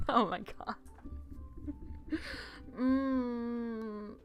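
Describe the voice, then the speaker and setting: A woman's voice: fairly high pitch, steady tone, very slow, highs cut off, faint music behind. A woman playing a game on a livestream, chatting casually and reading aloud in a playful, giggly manner.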